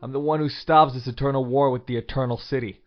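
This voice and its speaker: A man's voice: medium pitch, steady tone, quick pace, natural voice. An actor playing a smug, scheming president in an audio drama, theatrical, condescending and mock-friendly as he poses as the heroic saviour. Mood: grandiose. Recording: dull sound under loud music.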